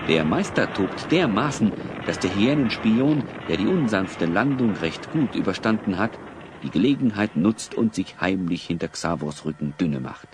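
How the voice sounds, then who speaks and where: A man's voice: low voice, animated, normal speed, background noise. A man narrating an audio drama as a dramatic storyteller.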